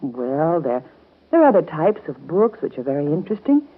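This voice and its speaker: An actress in a 1930s radio soap opera playing a patient, motherly guardian, gentle, earnest and coaxing. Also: low-pitched, very animated, a little fast, phone-quality sound. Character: warm, patient motherly guardian woman of twins. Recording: band-limited scratchy 1930s radio broadcast.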